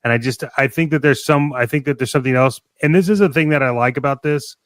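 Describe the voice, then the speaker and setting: A man's voice: medium pitch, fast talker, dry recording, studio quality. A man chatting casually as a podcast co-host, an enthusiastic fan who rambles and thinks aloud as he goes. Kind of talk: casual fan chat about toys and films.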